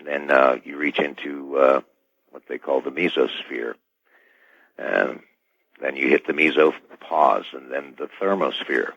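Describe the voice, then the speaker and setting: A man speaking as an expert guest on a radio show, giving technical answers in a halting, deliberate way. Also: very deep voice, steady tone, gravelly, fairly bright tone, dry recording. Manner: halting, deliberate, repeats "you know". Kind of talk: technical interview talk. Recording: dull band-limited radio call audio.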